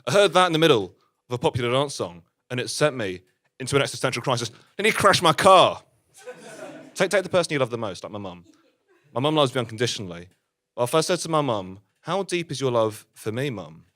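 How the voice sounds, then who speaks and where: A man's voice: mid-range voice, very animated, quick pace, a bit bright. A man playing an awkward stand-up comedian, rambling through a routine in a hesitant, self-deprecating way. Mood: bemused.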